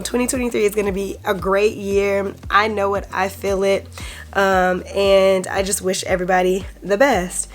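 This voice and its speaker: Chatty congested woman vlogging. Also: medium pitch. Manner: chatty, rambling, frequent "um"s. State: congested, recovering from illness. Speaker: vlog host. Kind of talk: casual handbag vlog.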